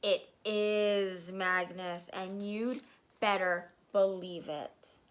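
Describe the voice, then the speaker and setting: A woman voicing the ghost of a dead father speaking solemnly in a storybook reading. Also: fairly even intonation. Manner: slow, low, solemn.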